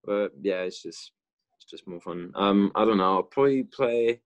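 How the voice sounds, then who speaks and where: Young French guy, deep voice, casual hesitant talker, video call audio from a parked car. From France. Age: young adult. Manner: halting, many fillers and restarts, laughs easily. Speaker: guest musician. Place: inside a car.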